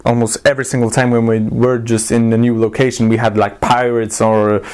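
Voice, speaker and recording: A man's voice: mid-range voice, little pitch movement, fairly quick, darkish tone, clean tone. A man giving a casual, unscripted review as a video host, informal and blunt, often hesitating and correcting himself. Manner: hesitant, rambling delivery with frequent self-corrections.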